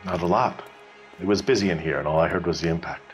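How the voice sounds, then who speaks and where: A man's voice: sits low, quick pace, over music, close to an everyday voice. A man playing a guarded, working-class bar manager in a 1940s crime game, with a dry, offhand, evasive manner. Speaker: bartender. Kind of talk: scripted video game dialogue, 1940s crime drama.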